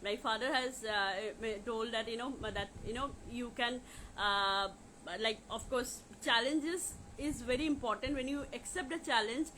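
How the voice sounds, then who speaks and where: A woman with an Indian accent talking informally to viewers as a coach on a livestream, in a halting, repetitive way with many filler phrases. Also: a little high, bright, forceful tone, a little hiss or hum, low-quality recording. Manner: repetitive, halting with frequent "you know". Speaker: life coach hosting a live question session.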